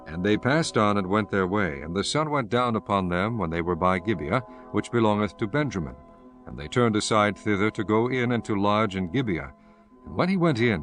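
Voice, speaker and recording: A man giving a solemn, formal scripted narration of scripture as the narrator. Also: fairly low pitch.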